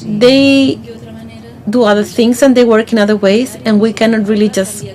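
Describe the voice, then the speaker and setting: A woman's voice: on the high side, steady tone, a little mellow. Woman interpreting a conference talk live. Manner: halting, pausing mid-phrase with fillers. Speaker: simultaneous interpreter.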